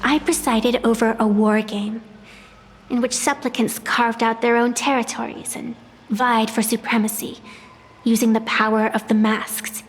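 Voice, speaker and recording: A woman's voice: on the high side, flat delivery, unhurried, close to an everyday voice. A woman voicing a playful, teasing ancient tree spirit who oversees deadly games, in a game cutscene. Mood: proud. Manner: teasing, amused, mocking. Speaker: game character. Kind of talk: video game voice acting. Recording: game cutscene with reverb and music.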